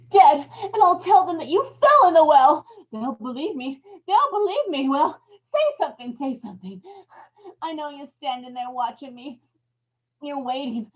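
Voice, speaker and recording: A high-voiced woman in an old-time radio horror drama, desperate and wracked with guilt. She pleads in broken phrases and cries out, and the recording is dull and lo-fi.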